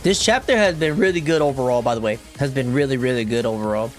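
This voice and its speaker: A young man streaming a game, chatting loosely with viewers and reading story text aloud, sometimes in a deep half-whisper. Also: quick pace, faint music behind. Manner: rambling, slangy, reads dialogue in a deep whisper. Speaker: gaming streamer.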